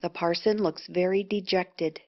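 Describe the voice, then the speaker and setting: Slow-paced woman narrator. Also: lowish voice, steady tone. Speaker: narrator reading stage directions. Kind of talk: scripted play reading. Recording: muffled lo-fi audio.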